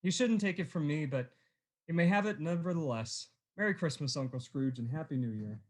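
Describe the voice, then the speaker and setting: Good-natured young nephew, lightly high voice, jovial, earnest, radio drama. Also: male voice, lively intonation, a little fast. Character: cheerful, kindly, good-humored nephew. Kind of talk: radio play acting.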